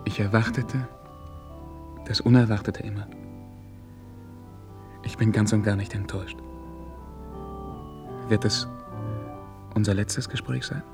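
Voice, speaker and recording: A man's voice: fairly low pitch, fairly even intonation, takes it slowly, long pauses, over music. An actor playing a reserved, lonely young prince in a German radio play, courteous, earnest and hesitant in his stiffly polite speech. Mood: grave, restrained. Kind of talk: mid-century German radio play acting.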